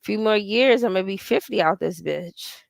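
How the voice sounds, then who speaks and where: A woman's voice: medium pitch, normal speed, vocal fry, no echo. Profane, rambling woman in her forties. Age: 40s. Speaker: livestream host. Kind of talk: casual livestream chat, reading viewer comments.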